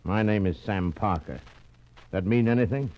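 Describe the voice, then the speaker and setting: A man's voice: on the low side, moderate pace, close to an everyday voice. An actor playing a curt, menacing gunman in 1940s radio style, with clipped, commanding delivery. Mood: cold, businesslike. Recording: muffled, noisy vintage radio recording.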